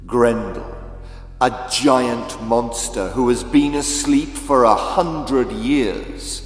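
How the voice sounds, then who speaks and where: A man narrating a children's audiobook in a theatrical, deliberate, scripted storytelling style. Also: mid-range voice. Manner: theatrical, deliberate, with big swells and hushes. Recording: echoey, long reverb, music behind.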